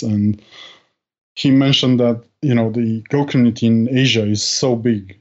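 A man talking on a podcast with a mellow, relaxed voice. He speaks hesitantly, with plenty of fillers and restarts. The recording sounds dull and lo-fi. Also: mid-range voice. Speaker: podcast guest. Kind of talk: casual podcast conversation.

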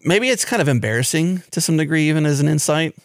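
A man's voice: on the high side, lively intonation, fast, no room sound, studio quality. A man co-hosting a podcast, chatting in a joking, wandering way. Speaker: podcast co-host. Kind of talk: casual podcast conversation.

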